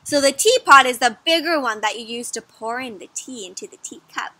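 A woman's voice: fairly high pitch, lively intonation, brisk, sharp tone, no room sound. A young woman doing an interactive storybook read-aloud for children, speaking expressively and putting questions to her listeners. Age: young adult. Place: outdoors in a garden or patio.

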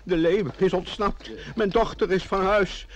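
A man's voice: high voice, fairly even intonation, unhurried, highs cut off. An actor playing a flustered, self-pitying small-town mayor in an old radio play, lamenting and stammering as he repeats himself. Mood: distraught. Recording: noisy old radio recording.

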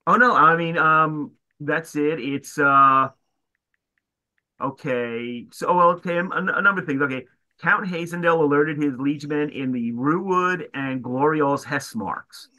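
A man with a bright, forceful voice co-hosts a relaxed lore talk. He speaks hesitantly, with plenty of ums and restarts. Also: a little high, measured pace, low-quality recording. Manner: hesitant, many fillers. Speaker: co-host. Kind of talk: casual lore discussion, reading passages aloud.

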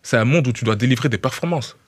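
Un homme d'une trentaine d'années à l'accent belge de Liège, footballeur invité, qui parle de façon décontractée et se répète en cherchant ses mots. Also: male voice, medium pitch, no echo. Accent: Belgian French, Liège. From Belgium. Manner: quick, repeats words as he searches, familiar "tu vois". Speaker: footballer guest. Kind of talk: casual interview chat. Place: small radio studio. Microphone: studio mic with headphones.

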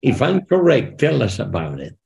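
A man's voice: medium pitch, huge pitch swings, moderate pace, gravelly, a little mellow. An older man hosting a faith podcast over a video call from his living room, speaking with fervent, preacher-like emphasis. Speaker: podcast host. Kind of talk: conversational interview.

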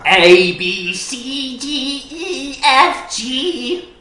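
Man doing dotty, anxious Carol, pitched up. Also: sing-song, extremely slow, sharp tone, full character voice. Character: dotty, anxious caller named Carol, a woman. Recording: roomy living room recording.

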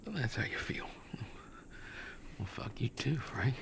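An actor playing a bitter middle-aged American survivor in a video game, brusque, grumbling and sarcastic. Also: male voice, medium pitch, sing-song, measured pace, vocal fry, in a whisper. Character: gruff, bitter middle-aged male survivor, foul-mouthed and irritable. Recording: game effects noise over the voice.

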